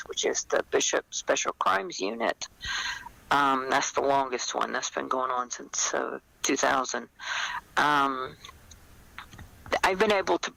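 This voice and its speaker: An older woman speaks thoughtfully in an interview, with a creaky, gravelly edge and a bright, forceful tone. She explains things conversationally, often pausing to find the word. The sound is very dry. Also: a bass voice, no echo, low-quality recording. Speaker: novelist, interview guest.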